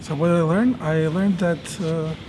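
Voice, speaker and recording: A man's voice: a little high, fairly even intonation, measured pace, noisy background. A young man from Croatia, a law student, answering interview questions and often stumbling over and repeating words. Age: young adult. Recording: music playing underneath.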